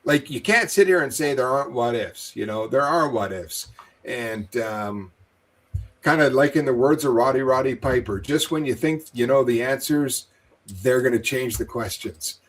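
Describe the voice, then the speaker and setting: Man from western Canada, livestream co-host, casual talk, reads viewer comments, mid-pitched voice. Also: moderate pace. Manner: reads viewer comments, hedging with "you know". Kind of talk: casual live town hall discussion.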